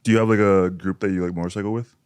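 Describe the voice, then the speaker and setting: A man hosting a podcast, chatting in a relaxed, rambling way with many fillers. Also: sits low, rapid-fire, smooth, no room sound, studio quality. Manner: laid-back, filler-heavy, rambling. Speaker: podcast host. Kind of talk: casual chat.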